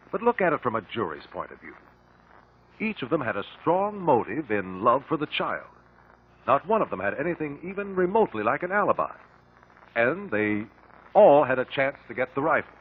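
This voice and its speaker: An actor playing a calm, shrewd male investigator in a 1950s radio drama, questioning in a measured, precise way. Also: medium pitch, slightly rough. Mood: reasoning, firm. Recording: muffled lo-fi 1950 radio broadcast.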